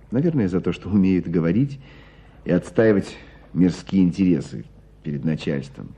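A man acting in a radio play as a knowing hunter who tells a story with deliberate emphasis. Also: sits low, mellow, breathy edge, exaggerated voice. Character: knowing male hunter recounting an old court case. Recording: dull, muffled radio play sound.

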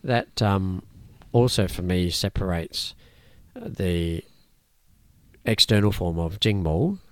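A man whose first language is Cantonese, a martial arts teacher explaining his teaching in a thoughtful interview, often pausing and restarting. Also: low voice, dry recording. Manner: measured, thoughtful, with frequent fillers and restarts. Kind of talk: conversational interview answers.

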